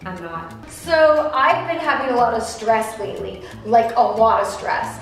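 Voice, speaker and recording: Deadpan young woman in an echoey apartment. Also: a little high. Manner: deadpan, self-mocking, jumps between bright and flat. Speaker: vlogger. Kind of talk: comedic home tour vlog. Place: apartment, indoors. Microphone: camera mic, handheld and at distance. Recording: roomy apartment echo with music behind.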